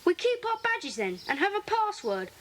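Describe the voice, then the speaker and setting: A woman's voice: very high voice, very animated, moderate pace, fairly bright tone, heavily acted. A woman playing an earnest, eager child in a secret club for a children's audio drama, insistent and pleading. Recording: faintly noisy old cassette.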